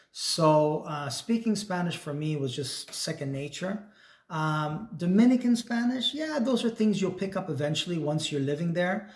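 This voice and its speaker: A man with a fairly high voice explains things in an easygoing, casual way. His voice rings in an echoey room. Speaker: real estate agent. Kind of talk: casual chat.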